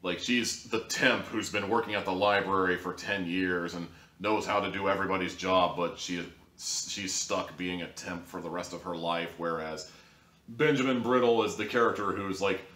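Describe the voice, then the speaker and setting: A man reviewing an audio drama in a rambling, sarcastic monologue, his pitch jumping up now and then for emphasis. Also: normal speed, a bit bright, echoey room.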